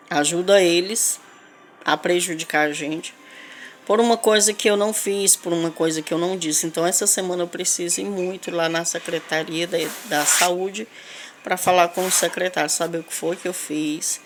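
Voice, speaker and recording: A woman with a Northeastern Brazilian accent making an unscripted spoken complaint, halting and repetitive, with emphatic insistence. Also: on the low side, steady tone. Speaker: local resident making a video appeal. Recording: clear background noise, ambient sound.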